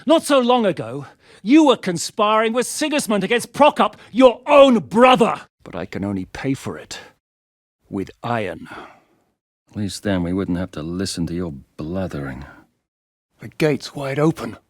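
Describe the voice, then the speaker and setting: An actor playing a gruff, battle-hardened rebel commander in a video game, barking orders, swearing and mocking his foes. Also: mid-range voice, very animated, normal speed, no echo, studio quality. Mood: commanding.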